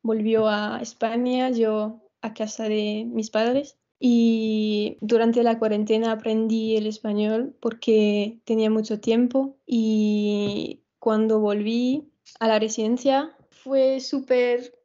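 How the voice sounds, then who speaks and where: A young Frenchwoman whose first language is French talks softly and haltingly in a mellow, flat voice, set slightly high. She calls in from a small bedroom, and the call audio sounds a bit dull. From France. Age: 20s. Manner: soft-spoken, halting, with fillers. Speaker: guest, dancer. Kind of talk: conversational interview. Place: small bedroom with photos on the wall.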